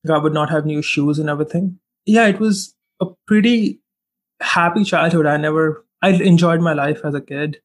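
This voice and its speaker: A man in his twenties from India whose first language is Hindi, a guest in a relaxed interview, reflecting on his past and often saying "like" and "you know". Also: on the high side, moderate pace. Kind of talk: casual interview chat.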